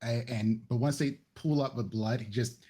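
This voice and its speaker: A man's voice: mid-range voice, fairly even intonation, moderate pace, mellow, breathy edge. A man talking casually as a player in a tabletop roleplaying game, describing what his character does.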